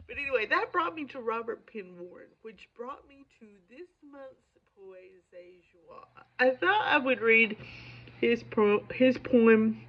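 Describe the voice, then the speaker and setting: Dull lo-fi audio, gravelly woman with a head cold, mid-pitched, conversational, wandering off on tangents. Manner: digressive, conversational, self-deprecating. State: has a lingering cold. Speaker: poetry video host. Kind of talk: rambling casual monologue to viewers.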